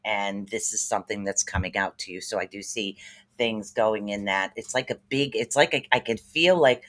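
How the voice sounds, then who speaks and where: A man's voice: on the low side, normal speed, fairly bright tone. Upbeat rambling man with Boston-like accent. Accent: Boston-sounding East Coast US. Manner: rambling, upbeat, heavy on fillers and repeats. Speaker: spirit reader and livestream host. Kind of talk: casual livestream chat with psychic readings.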